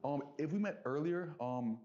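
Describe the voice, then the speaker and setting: A man talking casually and reflectively as an interview guest, earnest and halting, circling back over his phrases. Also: on the high side, animated, mellow. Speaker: interview guest, business entrepreneur. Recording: dull lo-fi audio.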